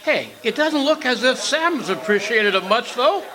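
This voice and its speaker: A very high, animated male voice acted by a man plays a friendly dinner guest in an old-time radio play. He exclaims excitably, with a crowd heard behind him. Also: normal speed, rough recording. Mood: jovial.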